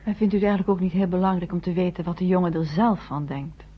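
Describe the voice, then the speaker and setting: A woman's voice: lowish voice, quick pace, husky. An actress playing a caring, sensible woman in a radio drama, speaking in a direct, practical way. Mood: gently challenging. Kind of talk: radio play acting. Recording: dull, lo-fi old radio play recording.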